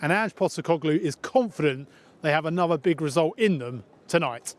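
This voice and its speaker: A man presenting the sports news on a broadcast bulletin in a brisk, matter-of-fact way. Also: a little high, animated.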